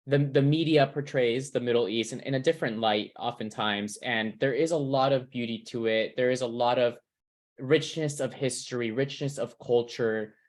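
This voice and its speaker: Earnest young man wrapping up. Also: mid-range voice. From California. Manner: earnest, reflective, with restarts. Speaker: presenter. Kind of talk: concluding a presentation on a video call.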